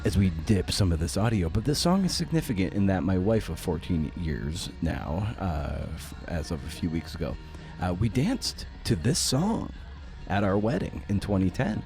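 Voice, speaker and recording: A man's voice: sits low, very animated, moderate pace, darkish tone, soft background music. A middle-aged man hosting a podcast in a conversational style, with a wry, self-deprecating manner. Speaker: podcast host and interviewer. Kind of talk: conversational podcast intro and interview.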